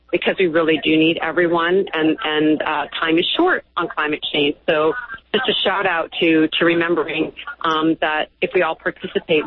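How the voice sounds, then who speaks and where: A guest on a radio show speaking earnestly and urgently, often repeating words and pausing on fillers. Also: mid-range voice, dry recording. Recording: tinny phone line.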